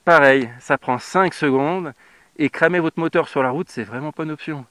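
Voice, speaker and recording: A man's voice: on the high side, moderate pace, a bit bright. A man in his thirties from France, a motorcycle travel vlogger, talking casually to the camera outdoors on a grassy roadside through a lapel mic, blunt and slangy with plenty of fillers. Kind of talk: casual how-to talk to camera. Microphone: lapel mic on riding jacket.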